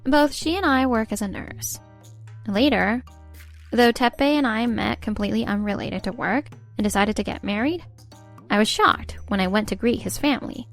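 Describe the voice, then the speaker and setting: Woman doing tired young mom in a manga dub, high voice, timid n quietly fed up, soft music behind. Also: moderate pace, lightly acted. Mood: reflective narrating. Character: weary young mother and nurse, timid, quietly frustrated wife. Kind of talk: scripted manga dub narration and dialogue.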